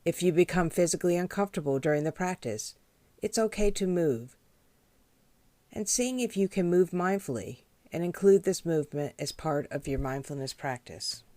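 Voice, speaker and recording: A woman leading a guided meditation as a teacher, speaking in a gentle, measured, instructional way. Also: on the low side, animated, no room sound. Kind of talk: guided body scan meditation.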